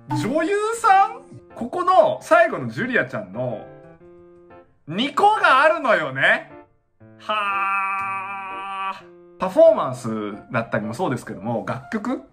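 A young adult man giving casual reaction commentary, excitable and often exclaiming in awe, recorded indoors in a small room. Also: on the high side. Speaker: dance reaction channel host.

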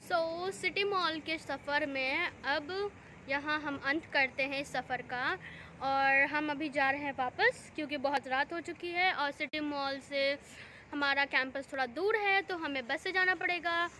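A young woman narrates her outing with a phone held at arm's length, her voice bright and high. She talks in unhurried, simple English and fluent Hindi. Loud street and mall noise carries through the whole recording. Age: young adult. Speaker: vlogger. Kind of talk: casual walking vlog. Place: shopping mall, then outdoors on a city street at night. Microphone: phone held at arm's length, wired earphone mic.

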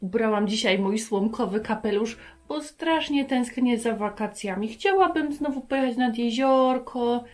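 Slow-talking woman teaching kids. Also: a little high. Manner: animated, addressing child viewers. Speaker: children's Bible lesson host. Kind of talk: teaching young children, asking them questions. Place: carpeted room at home.

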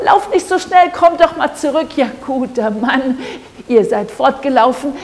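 A woman voicing a rude, greedy, excitable rich farmer in a stage-told fairy tale, blustering and stammering when flustered. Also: high voice, pitched above the performer's own voice. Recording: echoey hall with long reverb.

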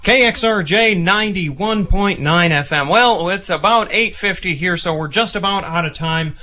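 Young male radio DJ, slightly high voice. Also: moderate pace, sharp tone, soft background music. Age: young adult. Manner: casual, chatty. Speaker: campus radio DJ. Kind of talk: radio DJ link between songs. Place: small campus radio studio, indoors. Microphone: close studio microphone, headset monitors.

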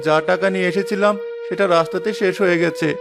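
A man's voice: high voice, steady tone, fast talker, gravelly, music in the background. A man playing a meek, humble, poor village father in a cartoon. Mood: dejected, apologetic. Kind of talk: cartoon voice acting.

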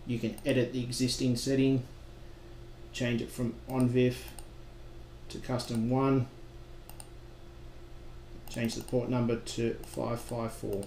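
A man narrating a software tutorial as a trainer, giving plain step-by-step instructions in a roomy recording with some noise. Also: medium pitch, flat delivery, takes it slowly, long pauses. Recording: roomy echo with background noise.

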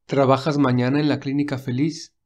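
A man voicing a caring young male lead in a read-aloud romance, speaking softly with light teasing. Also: medium pitch, little pitch movement, fairly quick, lightly acted. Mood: gentle.

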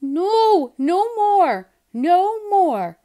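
Woman as a high, loud, exasperated little mouse. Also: sing-song, very slow, full character voice. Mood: upset, exasperated. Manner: yelling, protesting. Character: small put-upon male mouse, emotional and loyal.

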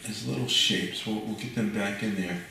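An older man, giving a relaxed painting demonstration at his easel and thinking aloud with fillers and restarts. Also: lowish voice, normal speed, creaky. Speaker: painting instructor. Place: art studio at an easel, indoors. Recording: very echoey room, mic far off, audible noise.

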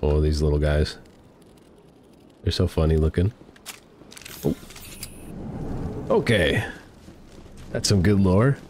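A man's voice: rumbling low, lively intonation, slow, long pauses, darkish tone, a little hiss or hum. A man in his thirties with a stuffy nose from a cold, chatting enthusiastically while playing a game at home on a headset. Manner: enthusiastic, chatty, reads choices aloud. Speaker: let's play streamer. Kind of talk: casual gameplay commentary. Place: home room.

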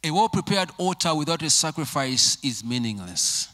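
A man in his forties preaching a sermon in a church on a handheld microphone, emphatic and repetitive, dropping to low quiet phrases. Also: big pitch swings, normal speed. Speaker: pastor. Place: church sanctuary with congregation.

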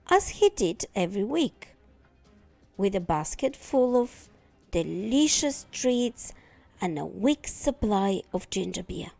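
Woman, children's story narration, slow, husky, expressive, deliberate emphasis. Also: medium pitch. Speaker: storytime reader.

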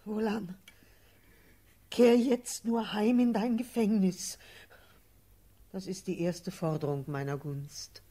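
A woman acting a grave, motherly noble aunt in a classical verse radio drama, solemn and measured, with a breathy voice. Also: mid-range voice, fairly even intonation, mellow. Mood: firm.

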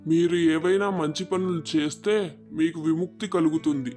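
A man's voice: fairly high pitch, fairly even intonation, normal speed, darkish tone, faint music behind, natural voice. A man playing a stern holy man who gives counsel in a moral story. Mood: stern, advising.

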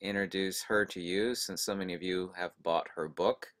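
A man's voice: low-pitched, steady tone, moderate pace, fairly bright tone. An American man hosting and casually introducing a guest in an effusive, admiring manner. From United States. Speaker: video host.

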